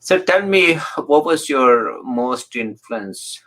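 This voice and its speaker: Halting male talk show host. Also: mid-range voice, expressive, a bit bright. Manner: halting, heavy fillers, repeated "you know". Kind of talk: hosting an interview.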